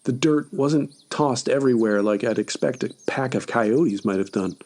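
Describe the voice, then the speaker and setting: A man's voice: mid-range voice, moderate pace, a little mellow. A man reading scripted first-person horror narration as a story podcast narrator.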